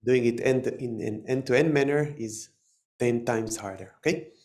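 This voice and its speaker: A man with an Israeli accent giving a live technical talk, halting and full of fillers and restarts. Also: mid-range voice, darkish tone. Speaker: tech presenter. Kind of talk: live conference talk with demo.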